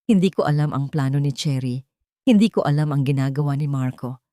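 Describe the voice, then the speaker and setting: A woman's voice: sits low, a little fast, dark tone, no room sound, pitched below the performer's own voice, close to an everyday voice. Woman narrator as lovestruck male executive, earnest, emotional pleading, romance audio drama, close dry sound.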